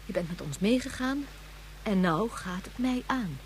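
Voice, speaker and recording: A woman's voice: medium pitch, lively intonation, unhurried, slight background noise, natural voice. A woman acting a firm, earnest, protective mother figure in a radio play.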